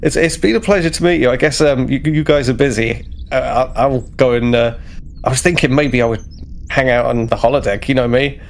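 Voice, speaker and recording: A man's voice: medium pitch, brisk, gravelly, lightly acted. A man playing a friendly, slightly awkward male starship officer in a tabletop game, speaking hesitantly and politely. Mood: sheepish.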